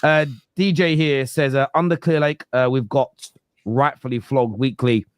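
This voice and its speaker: A young man with a Cockney accent hosting a football fan podcast, teasing and animated, often talking over the others. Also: mid-range voice, normal speed, clean tone, no room sound, studio quality. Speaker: podcast host. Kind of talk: heated football fan debate.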